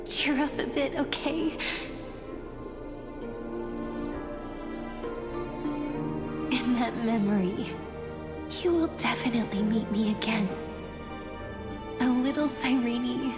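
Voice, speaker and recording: A young video game heroine with a high voice, gentle and teasing yet brave and wistful. Her words ring in long reverb over music. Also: a little slow. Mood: tender, encouraging.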